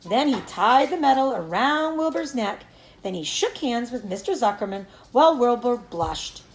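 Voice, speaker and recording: Animated woman reading aloud, roomy sound. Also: on the high side. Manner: animated storytelling with acted dialogue. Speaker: teacher reading aloud to pupils. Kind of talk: expressive children's book read-aloud.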